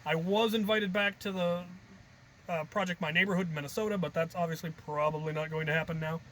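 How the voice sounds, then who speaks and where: A man's voice: fairly high pitch, steady tone, fast talker, fairly bright tone, slight background noise. A man telling stories in a casual, rambling vlog style, thinking aloud with a jokey, self-deprecating manner. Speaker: hobby video creator and host.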